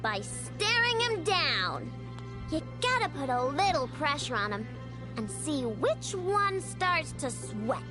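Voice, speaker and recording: A stubborn young girl mechanic in a video game. The voice is very high and feisty, with theatrical stress, stretched words and lively pitch movement. Music plays clearly behind the lines. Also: exaggerated voice. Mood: determined. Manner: emphatic, theatrical, drawn-out words.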